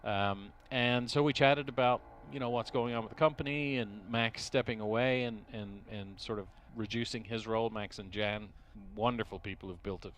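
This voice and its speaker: A middle-aged man from Dublin with an Irish accent, talking as an interview guest at a race track, pausing often and restarting his sentences. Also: lowish voice, fairly bright tone. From Ireland. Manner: hesitant, many restarts and fillers. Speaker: interview guest, racing team executive. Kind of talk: casual interview. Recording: faint noise at a race track.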